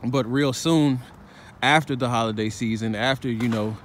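A man's voice: mid-range voice, fairly even intonation, normal speed. Man preaching while walking outdoors. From Chicago, United States. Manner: preachy, street-slang, repeats "all right?" and "man". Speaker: street preacher vlogger. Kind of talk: walking vlog commentary. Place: city sidewalk outdoors, walking. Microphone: phone held while walking. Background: faint traffic and street ambience.

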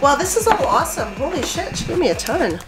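A woman's voice: sits high, sing-song, quick pace. A woman, chatting casually with family in a living room, picked up by a camera. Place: living room at home, indoors. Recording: music behind the voice.

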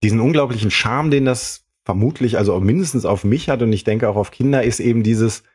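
A man's voice: medium pitch, a little fast, a little mellow, slightly rough. A man talking casually as a panelist in a podcast discussion.

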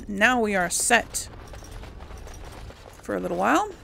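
A woman's voice: mid-range voice, lively intonation, slow pace, gravelly, a bit bright, a little hiss or hum. A woman chatting casually through a game playthrough, thinking aloud to herself as she plays. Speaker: gamer hosting a role-play playthrough.

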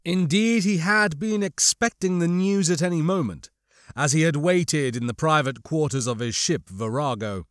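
A man narrating a novel as scripted dramatic audiobook storytelling, in a measured, literary manner. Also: on the high side, no echo, studio quality.

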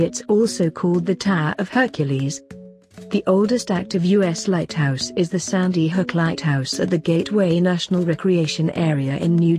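A woman reading scripted instructional narration in a measured, step-by-step way. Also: fairly low pitch, warm tone. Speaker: host and narrator of a craft tutorial. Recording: voice over an instrumental music bed. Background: instrumental pop music.

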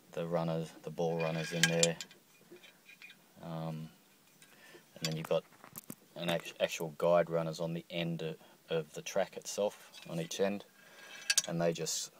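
A middle-aged man with a very deep voice walks through a boat door repair in a casual, halting way, full of ums and restarts. He records on a handheld phone in the boat's cockpit, with a faint hiss behind him. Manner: hesitant, many ums and restarts. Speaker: boat owner showing a repair. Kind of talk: casual how-to walkthrough. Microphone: handheld phone camera.

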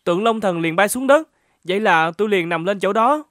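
An actor voicing a naive, scrappy young vagrant lad in a folk-tale cartoon, guileless and prone to exclaim. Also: male voice, high voice, lively intonation, fairly quick, a bit bright, no room sound, clean studio sound. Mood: apologetic.

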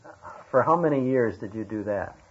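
A man interviewing in a casual radio style, often halting as he searches for words. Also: mid-range voice, lively intonation, husky. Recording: dull lo-fi archival radio recording.

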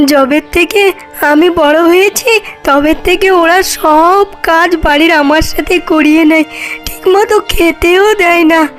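A woman's voice: very high voice, takes it slowly, faint music behind. A woman voicing a timid, mistreated orphan village girl in a cartoon fairy tale, plaintive and childlike.

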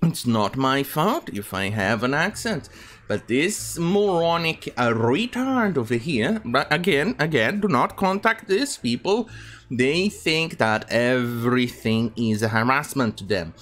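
A man's voice: medium pitch, lively intonation, unhurried, fairly bright tone, faint music behind. A man in his thirties, not a native English speaker, hosting an indignant, rambling commentary video from home. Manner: indignant, rambling, many fillers. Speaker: commentary channel host. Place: home room with bookshelves.

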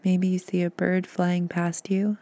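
A woman guiding a meditation in a soft, soothing, gentle voice, breathy at times, with unhurried pauses. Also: lowish voice, completely flat delivery. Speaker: podcast host and meditation guide. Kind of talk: guided meditation narration.

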